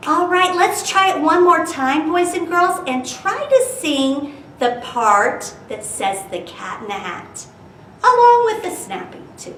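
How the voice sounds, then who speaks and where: A woman reading aloud as a children's storyteller, expressive and dramatic, talking to young children. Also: very high-pitched, slow. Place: indoor room, virtual storytime. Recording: roomy, distant mic with background noise.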